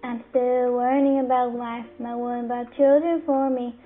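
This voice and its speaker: A woman singing a slow, soft pop song cover. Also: on the high side, steady tone. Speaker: cover singer. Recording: tinny phone-like sound with faint backing music.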